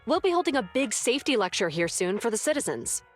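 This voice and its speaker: A woman's voice: fairly high pitch, big pitch swings, quick pace, faint music behind. A dutiful young policewoman. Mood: earnest. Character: earnest, dutiful young female police officer. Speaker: public security officer, Zhu Yuan.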